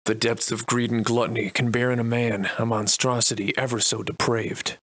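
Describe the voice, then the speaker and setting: An actor playing a wry, defiant Victorian-era adventurer and monster slayer in a video game, soliloquizing in florid, old-fashioned diction. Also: male voice, mid-range voice, level tone, normal speed, fairly bright tone, no room sound, natural voice. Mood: grave, reflective. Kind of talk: theatrical video game voice lines in archaic English.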